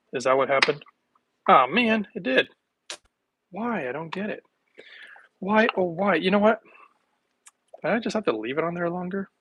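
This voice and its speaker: A middle-aged man chatting casually with livestream viewers while making a smoothie in his home kitchen, rambling from one thought to the next. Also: mid-range voice, fairly bright tone, slight background noise. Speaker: wellness vlogger.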